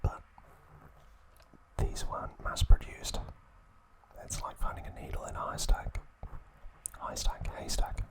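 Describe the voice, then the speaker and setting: A man hosting an ASMR video, speaking in a breathy, hushed whisper with deliberate, separated phrases, close to the microphone. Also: low-quality recording. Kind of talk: ASMR, whispered trivia and show-and-tell.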